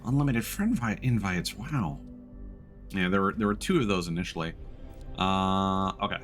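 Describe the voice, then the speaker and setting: A man with a low voice talks casually to his live-stream audience while playing a game. His delivery is conversational and unscripted, and music plays softly in the background. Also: normal speed. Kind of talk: casual live-stream chat with gameplay commentary.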